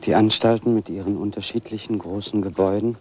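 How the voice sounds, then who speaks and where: An actor playing a solitary wanderer, narrating in a measured, observant, literary way for a radio play. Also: male voice, lowish voice, steady tone, a little mellow, a little hiss or hum, close to an everyday voice. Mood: sober, reflective. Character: solitary male wanderer narrating his walk in detail.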